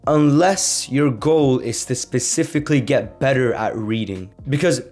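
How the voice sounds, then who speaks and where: A teenage boy from Sweden chats straight to camera in a mid-pitched voice. He rambles loosely, filling his talk with "like" and "you know what I mean," and sounds like a casual video blogger. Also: unhurried, a little mellow, faint music behind. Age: teenager, fifteen. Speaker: language-learning YouTuber. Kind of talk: casual talking-head vlog.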